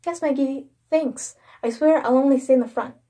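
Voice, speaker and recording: An eager little boy, acted out by a woman in a narrated horror story. The voice is raised high, with an earnest, pleading manner, like a younger brother begging and promising. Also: moderate pace, dark tone, close to an everyday voice.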